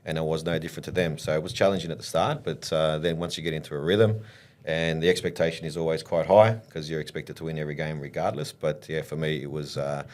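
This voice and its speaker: A man, middle-aged, telling stories from his playing days in a relaxed interview, with many pauses and restarts. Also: a bass voice. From Australia. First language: English. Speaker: football coach and former player, podcast guest.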